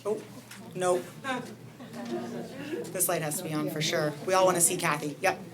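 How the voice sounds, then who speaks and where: Low-voiced woman rabbi making announcements. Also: fairly even intonation, a crowd in the background. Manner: brisk, practical, directing people. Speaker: rabbi hosting the service. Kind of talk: announcements to a congregation. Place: synagogue sanctuary. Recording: congregation murmuring behind.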